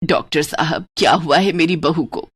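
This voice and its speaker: A woman's voice: deep voice, normal speed, husky, voice pushed down. A woman voicing a harsh, domineering older mother-in-law in a moral cartoon story, scolding and proud. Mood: concerned. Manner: scolding, commanding, taunting.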